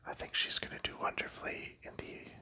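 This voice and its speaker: A man whispering softly through an ASMR board game session, chatting in a rambling, easygoing way while sorting cards. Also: a little fast. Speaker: ASMR host.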